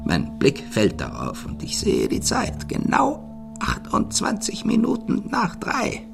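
An actor playing an old, eccentric, suspicious millionaire in a radio drama, raspy and erratic, with querulous outbursts that slip between shrill tones and whispers. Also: male voice, wildly swooping pitch, measured pace, over music, exaggerated voice. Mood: fearful, intense. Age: older. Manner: abrupt, erratic, barking questions with querulous tags. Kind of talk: German radio drama acting.

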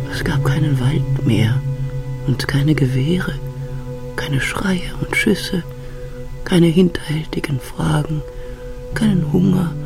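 An older actress playing a frail, wistful grandmother who remembers her past slowly and reflectively in a radio drama. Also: sits low, vocal fry, exaggerated voice. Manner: slow, halting, reflective storytelling. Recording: music behind the voice.

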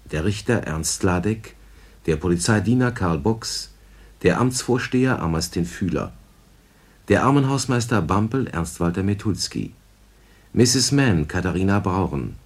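A man formally reading the credits of a 1950s radio play in a deliberate announcer's manner. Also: sits low, husky.

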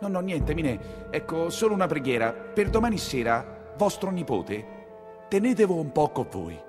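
A man's voice: a little high, sing-song, moderate pace, music in the background. An actor playing an anxious, plain-spoken nineteenth-century coachman with a Roman accent, in a theatrical storytelling monologue. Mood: deferential, cautious.

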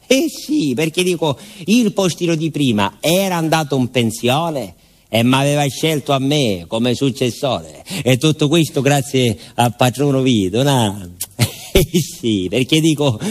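A male actor playing a folksy village postman with a Southern Italian accent, telling his story in a rambling, colloquial theatrical monologue full of asides. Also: medium pitch. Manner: colloquial, digressive, with rhetorical asides and repetitions.

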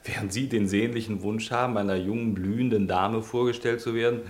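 A man's voice: on the low side, fairly even intonation, fairly quick, echoey room, lightly acted. A man playing a jovial, boastful professional impostor dressed as a parson in a radio play, genial and salesmanlike. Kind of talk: German radio play acting.

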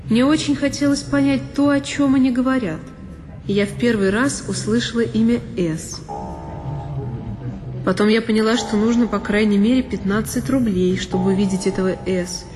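A woman actress giving an expressive, reflective narration in a one-woman audio play. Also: fairly high pitch, normal speed. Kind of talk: scripted literary narration. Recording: long reverb with violin music. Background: solo violin.

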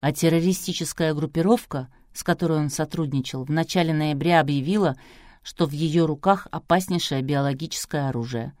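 A resolute man giving grave news, read by a woman in an audiobook. He is quietly confident, measured and firm, and the recording is close and dry. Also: low voice, no room sound, lightly acted.

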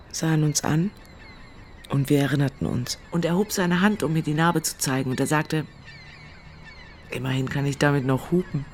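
An actress playing a moved hurricane survivor in a radio drama, telling the story reflectively and haltingly. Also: female voice, sits low, slight background noise.

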